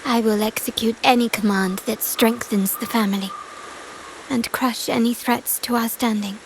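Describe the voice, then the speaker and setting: A woman voicing a poised young noblewoman of a crime family in a video game, coolly loyal, with formal, measured speech. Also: a little high, steady tone, vocal fry, noisy background. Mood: cool, deferential. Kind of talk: scripted video game voice acting. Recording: background music under the voice.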